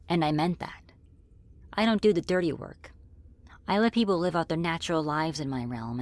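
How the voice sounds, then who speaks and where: Low-voiced, gentle friendly demoness played by a woman. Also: lightly acted. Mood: earnest, apologetic. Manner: gentle, reassuring, conversational with hesitant pauses. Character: friendly, flirty, apologetic female demon ruling her own realm. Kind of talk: ASMR roleplay spoken to the listener.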